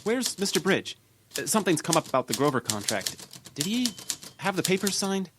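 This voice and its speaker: A man playing an agitated, halting newspaper office worker in an old-time radio drama. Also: sits high, noisy background. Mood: uneasy. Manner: halting, excitable.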